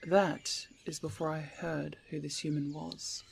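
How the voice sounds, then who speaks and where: A woman's voice: sits low, level tone, vocal fry. Woman as a regal motherly bear queen over noisy ambience. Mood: grave, measured. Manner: measured, formal, reassuring. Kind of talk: ASMR fantasy roleplay.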